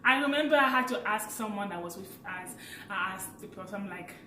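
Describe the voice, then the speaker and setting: A woman in her late twenties from Africa, with a French accent, chatting casually as a vlogger and telling a personal story with lively, laughing energy. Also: medium pitch, a little fast, reverberant, recorded from a distance, slight background noise. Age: late 20s. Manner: chatty and animated, laughs while telling stories.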